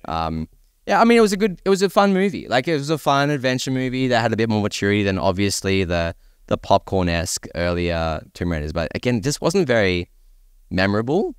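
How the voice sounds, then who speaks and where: A man with a deep, clear voice talks casually on a panel. He comes across as agreeable and informal. The sound is dry and close. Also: sing-song, brisk, no room sound. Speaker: podcast panelist. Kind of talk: casual panel discussion about films and games.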